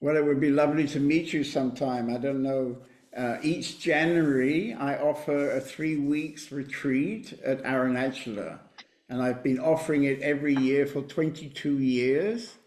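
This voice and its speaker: A spiritual teacher leading an online meditation group, speaking in a calm, measured way and often affirming with repeated "right, right". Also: male voice, medium pitch, echoey room. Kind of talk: relaxed group-meeting talk. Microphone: computer mic on a video call.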